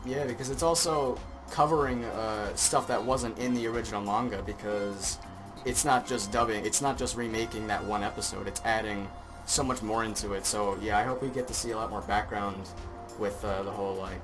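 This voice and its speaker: A man's voice: fairly low pitch, fairly even intonation, normal speed. A man chatting casually as a guest on a podcast. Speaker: podcast guest from a fandub group. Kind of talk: casual chat. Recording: music clearly playing behind the voice.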